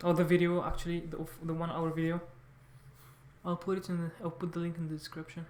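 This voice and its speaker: A man talks off the cuff to his viewers. He speaks haltingly, circling back and restarting his phrases as he rambles. The recording has a clear room echo around his voice. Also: on the high side, monotone, a little mellow, slight background noise. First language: French. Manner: halting, rambling, repeats words and corrects himself often. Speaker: vlogger, language learner. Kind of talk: casual unscripted monologue to viewers.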